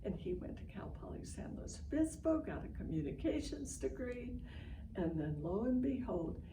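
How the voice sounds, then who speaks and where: Older American female educator, emphatic and instructive storyteller, informal online lesson, distant roomy sound with clear noise. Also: fairly high pitch, big pitch swings, normal speed. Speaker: literacy educator hosting an online session. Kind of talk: informal educational presentation to families. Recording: echoey, distant mic, noisy online stream.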